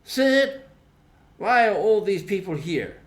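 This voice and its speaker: A bold young boy from a storybook , read by a man. He is confident and mischievous, speaking in emphatic, assertive phrases at a slightly raised pitch. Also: very animated, slow, exaggerated voice. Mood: curious.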